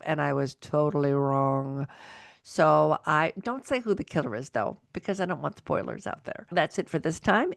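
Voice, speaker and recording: A woman's voice: deep voice, gravelly, no echo, studio quality. A woman hosting a podcast in casual chat, rambling and interrupting herself, with a bit of a cold. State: has a cold. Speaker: podcast host.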